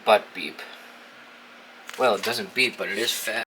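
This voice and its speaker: A man's voice: on the low side, measured pace, bright, forceful tone, slight background noise, low-quality recording. A young American man from New England giving casual, informal hands-on commentary, thinking aloud and swearing freely in a dorm room. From New England, United States. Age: young adult. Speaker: video host reviewing a gadget. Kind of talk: casual unboxing and setup commentary.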